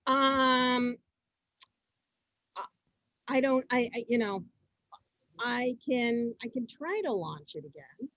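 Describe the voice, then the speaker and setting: Female event host, high voice, informal and repetitive delivery, dry video-call sound. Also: a bit bright, no room sound, dull audio. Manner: halting, often repeating her phrases. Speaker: host of an online reader's theater event. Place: online video meeting.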